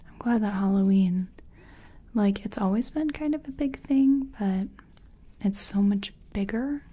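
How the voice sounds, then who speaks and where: A woman acting out a friendly makeup artist in an ASMR role-play. She whispers in a breathy, mellow voice and takes her time, chatting and reassuring softly as she works. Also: mid-range voice, natural voice. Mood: gentle, chatty. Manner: gentle, unhurried, chatty, reassuring. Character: friendly, self-taught makeup competition contestant. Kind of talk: soft-spoken ASMR personal attention role-play.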